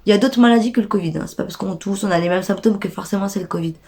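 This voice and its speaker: A woman's voice: mid-range voice, very fast, dark tone. A young woman with a stuffy nose from a cold tells an indignant, slangy story straight to her viewers. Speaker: vlogger. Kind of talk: casual storytime rant.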